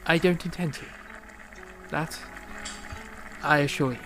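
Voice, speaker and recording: Casting: male actor as proud, wry young composer in period audio drama, slightly high voice with creak, formal and aggrieved. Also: steady tone, unhurried, over music, low-quality recording. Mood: indignant, distressed. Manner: formal, wordy period speech.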